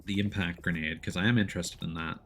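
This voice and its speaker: A man chatting casually as he plays a video game on stream, often pausing and restarting mid-thought. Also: low-pitched, fairly even intonation, fairly bright tone. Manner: chatty, hesitant mid-thought, playful exclamations. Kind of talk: casual gameplay commentary and chat. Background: faint game music.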